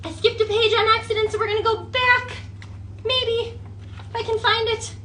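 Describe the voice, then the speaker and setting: A woman leading an interactive children's storytime as a librarian, talking in an animated way with exaggerated wonder and coaxing questions. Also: very high voice, normal speed, a little hiss or hum.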